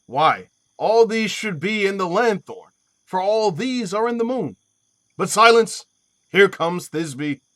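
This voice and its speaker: A man's voice: high voice, lively intonation, takes it slowly, fairly bright tone, full character voice. A man playing a witty nobleman who wryly mocks and teases in a Shakespearean audio play. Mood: amused impatience. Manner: wry, teasing.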